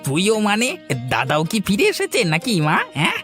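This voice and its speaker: A man's voice: high-pitched, wildly swooping pitch, moderate pace, pushed and bright, soft background music, heavily acted. A man voicing a greedy, scheming younger son in a cartoon story, whiny and insistent. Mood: suspicious, scheming.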